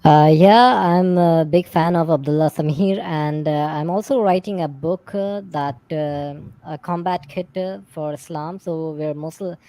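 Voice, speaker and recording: A man whose first language is Urdu, speaking English haltingly as a stream guest. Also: on the high side, steady tone, warm tone, clean tone, dry recording. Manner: halting, searching for words in English. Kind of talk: livestream discussion.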